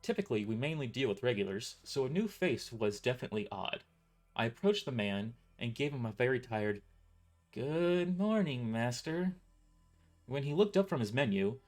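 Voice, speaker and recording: Animated man narrating a story, music behind. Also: medium pitch, moderate pace. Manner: informal, stumbling reading with self-corrections. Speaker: author narrating own novel. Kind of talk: scripted first-person story narration.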